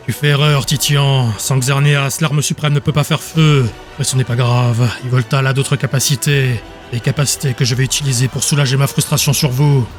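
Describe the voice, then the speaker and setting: A man's voice: medium pitch, little pitch movement, normal speed, hardly any pauses, close to an everyday voice. A man voicing a crazed, villainous counsellor in a dramatic audiobook, theatrical and sneering, bitter and desperate. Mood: gloating menace. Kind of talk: dramatic audiobook character acting. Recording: music behind the voice.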